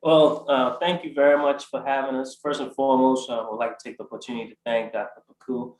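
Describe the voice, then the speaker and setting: A man in his forties from Ghana, a guest describing his life in a halting way, with many fillers and restarts. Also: mid-range voice, level tone, a little mellow, roomy sound, low-quality recording. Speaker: art collector guest. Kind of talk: conversational interview answer.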